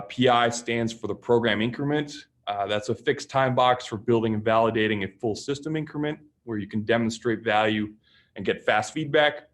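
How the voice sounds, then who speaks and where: A man hosting a software webinar as solutions engineer, explaining terms in a relaxed way with frequent fillers. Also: on the low side. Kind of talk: webinar presentation.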